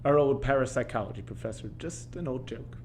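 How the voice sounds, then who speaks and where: A man's voice: medium pitch, animated, brisk, a little mellow, slight background noise, lightly acted. An actor playing a teasing male librarian in an audio play, with a dry, arch manner. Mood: wry, teasing.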